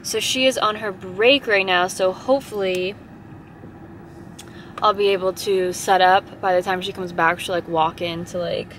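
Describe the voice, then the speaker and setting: A young woman in her twenties talking to her camera at arm's length while sitting in a car, chatty and relaxed about her busy day. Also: medium pitch, unhurried, slight background noise. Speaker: vlogger, salon owner. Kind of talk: casual vlog chat. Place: inside a car. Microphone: camera held at arm's length.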